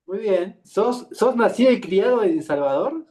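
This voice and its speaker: A man with a Rioplatense Spanish accent, hosting an interview and asking curious, relaxed questions. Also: high voice, measured pace. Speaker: interview host. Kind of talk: interview conversation.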